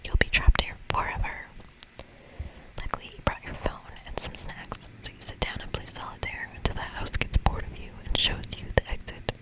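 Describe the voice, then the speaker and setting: A woman's voice: normal speed, low-quality recording. Whispering woman, playful ASMR game reader. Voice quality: breathy whisper. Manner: playful, casual. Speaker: ASMR streamer reading a video game aloud. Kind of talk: whispered ASMR playthrough, reading dialogue and commenting.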